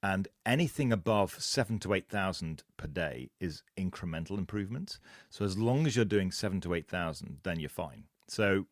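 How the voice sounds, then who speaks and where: A man's voice: sits low, expressive, moderate pace, hardly any pauses, no room sound, clean studio sound. A man co-hosting a casual fitness podcast, explaining points at length and laughing at his own asides. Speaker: podcast co-host.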